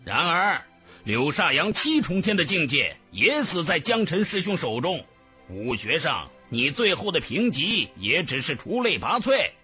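A man's voice: on the high side, big pitch swings, measured pace, sharp tone. A man narrating a fantasy novel as a dramatic scripted audiobook. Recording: music bed under the voice.

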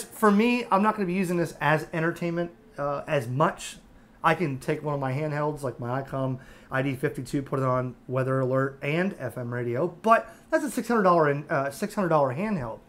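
A man giving a casual, unscripted product review, talking conversationally and haltingly as he thinks aloud. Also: on the high side. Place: indoors at a table.

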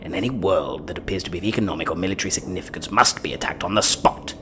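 Man playing a low-voiced, imperious warlord prince. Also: racing, noisy background, lightly acted. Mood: commanding. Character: stern alien warlord prince, commanding and ruthless. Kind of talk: science fiction audio drama acting.

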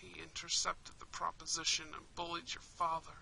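A woman's voice: low voice, normal speed, gravelly, a little hiss or hum, voice pushed down, heavily acted, low-quality recording. A woman voicing a kind, protective young prince in an intimate roleplay, tender and dramatic. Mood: stunned, tender. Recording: steady rain and fireplace ambience.

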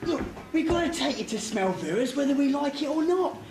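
A blustering henchman played by a stage actor with a high, animated voice, bickering like a comic coward. The recording is a noisy, echoing amateur theatre performance. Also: a little fast. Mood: bossy, grumbling. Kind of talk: amateur stage pantomime comedy.

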